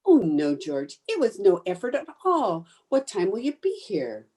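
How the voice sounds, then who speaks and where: A woman playing an excitable, scheming housewife in an old-time radio sitcom, with a theatrical, gushing manner. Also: mid-range voice, unhurried, a crowd in the background. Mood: uneasy. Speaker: amateur radio player.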